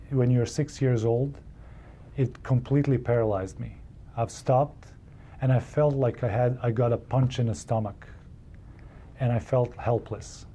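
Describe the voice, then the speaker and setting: A man from Serbia with a Serbian accent, telling a personal story in earnest, halting English with frequent restarts. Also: medium pitch, warm tone. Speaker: public health colleague telling his story. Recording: faint office noise.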